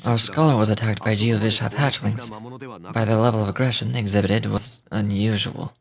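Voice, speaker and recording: An actor playing a calm, learned gentleman investigator in a game, speaking in a measured, grave, formal way. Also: on the low side, gravelly, a crowd in the background. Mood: grave, composed. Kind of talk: game voice acting.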